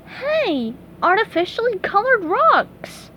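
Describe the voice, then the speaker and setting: Excitable, anxious boyish cartoon sea sponge, played by a woman, squeaky high, swooping delivery, clear background noise. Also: takes it slowly, heavily acted. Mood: curious, chatty. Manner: exclamatory, dramatic, stammering when upset.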